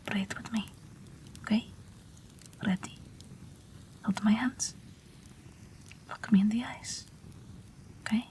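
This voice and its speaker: A woman playing a gentle, wry, protective night witch in an intimate audio roleplay, speaking softly and breathily, often near a whisper. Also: medium pitch, fairly even intonation, natural voice. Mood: soothing. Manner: slow, soft, tender reassurance.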